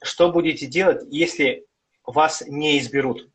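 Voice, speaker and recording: A man's voice: fairly high pitch, unhurried, a bit bright. A man hosting a live-streamed interview, asking questions casually and hesitantly with many fillers. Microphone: phone on a live stream.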